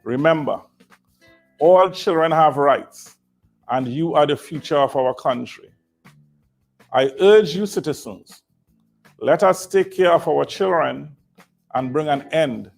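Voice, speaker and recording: A man giving a measured, instructive scripted public-service talk to children. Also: mid-range voice, vocal fry. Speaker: presenter addressing children. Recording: faint background music.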